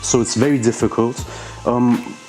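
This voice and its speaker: A man's voice: mid-range voice, steady tone, normal speed, darkish tone, faint music behind, low-quality recording. A man from Iran whose first language is Persian, a rapper answering interview questions in a hesitant way, with many fillers and restarts.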